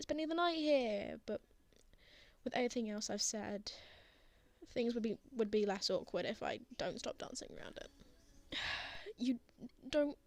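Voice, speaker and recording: A woman playing a prickly, flustered, lovestruck young man in an intimate ASMR roleplay, with halting, sardonic delivery. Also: a little high, no room sound. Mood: awkward, apologetic.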